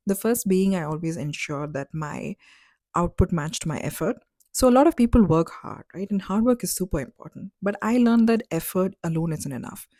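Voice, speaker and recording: A woman's voice: fairly low pitch, mellow, dry recording. A woman in her thirties from Dubai, telling her personal story in a candid, reflective, conversational way. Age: 30s. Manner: candid, reflective, conversational with fillers like "like" and "you know". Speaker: vlogger.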